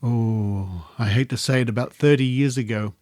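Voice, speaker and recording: A man's voice: mid-range voice, moderate pace, darkish tone, no echo, studio quality. A middle-aged or older Australian man hosting a casual podcast interview, chatty and genial, playfully repeating phrases. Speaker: podcast host interviewing guests.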